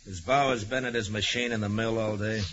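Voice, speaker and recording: Blunt, low-voiced prison guard captain played by a man. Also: fairly even intonation, a little fast, natural voice. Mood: gruff, scornful. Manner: blunt, sardonic, accusing. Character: hard-line, scornful male prison guard captain. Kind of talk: 1940s radio acting. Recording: noisy, dull vintage 1940s radio recording.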